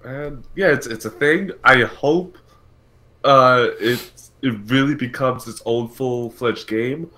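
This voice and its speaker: Slow-talking man hosting a gaming show. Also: medium pitch, sharp tone. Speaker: podcast host. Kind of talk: casual gaming talk show discussion.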